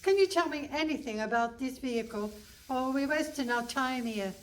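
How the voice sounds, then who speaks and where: A woman's voice: sits high, animated, moderate pace. A woman playing a brusque, dry-witted, impatient police detective inspector in a comic radio play. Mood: impatient, sardonic. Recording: live hall stage, distant mic, faint noise.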